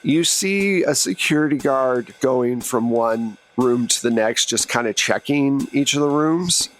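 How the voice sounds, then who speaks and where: A man's voice: mid-range voice, measured pace, faint music behind. A man running a tabletop roleplaying game, narrating in a conversational, improvised way as game master. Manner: conversational, second-person storytelling.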